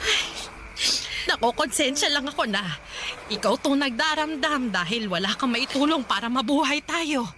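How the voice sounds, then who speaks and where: A woman playing a weary, caring old grandmother in a Filipino radio drama, with a sighing, plaintive, halting delivery. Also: sits high, bright, forceful tone. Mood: apologetic, sorrowful. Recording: music bed behind the voice.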